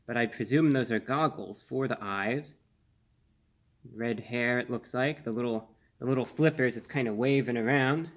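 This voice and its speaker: A man in his twenties hosting a casual food review on camera, speaking in an earnest, measured way with slightly formal phrasing. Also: mid-range voice. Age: 20s. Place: small indoor room.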